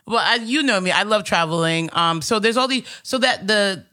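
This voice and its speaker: Raspy low-voiced new woman, comic, rambles with lots of ums, bright edge to it. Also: normal speed. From Astoria, New York. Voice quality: deep and raspy voice. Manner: rambling, many fillers and restarts. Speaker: stand-up comedian, guest. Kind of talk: casual interview chat.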